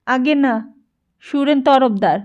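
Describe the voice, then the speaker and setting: A woman's voice: high voice, slow pace, darkish tone, muffled recording. A woman voicing a reserved, polite male estate employee in an audiobook, answering briefly and with indifference. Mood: polite, indifferent. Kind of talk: dramatised audiobook dialogue.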